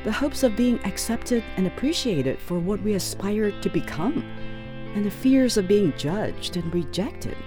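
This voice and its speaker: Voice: mid-range voice, expressive, unhurried. 播客主持人朗读旁白，语调起伏生动，背景有清晰的吉他音乐。. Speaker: podcast host. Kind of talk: scripted narration. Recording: narration over clear guitar music. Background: guitar music.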